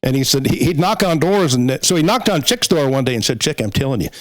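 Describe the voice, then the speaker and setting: A man hosting a podcast, talking casually about sports in a conversational, halting way as he works through a list. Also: medium pitch, animated. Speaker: podcast host.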